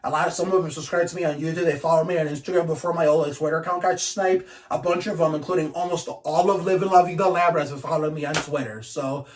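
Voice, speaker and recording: A man's voice: on the high side, steady tone, fast talker, hardly any pauses, recorded from a distance, a little hiss or hum. A man ranting casually to his channel, blunt and profane, rambling with frequent restarts. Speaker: YouTuber. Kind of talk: casual vlog rant.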